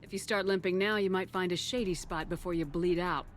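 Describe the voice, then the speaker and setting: A woman acting as a composed, tough game commander with a slightly low voice. She is terse and dryly authoritative, with music clearly underneath. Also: steady tone, brisk, natural voice. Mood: cool, commanding. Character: tough, composed female space military commander. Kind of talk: video game cutscene acting.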